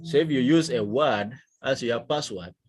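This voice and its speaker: A man from South Sudan with a South Sudanese accent, teaching a lesson in a deliberate, emphatic manner. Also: medium pitch. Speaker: instructor. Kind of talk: digital literacy lesson, explaining to learners.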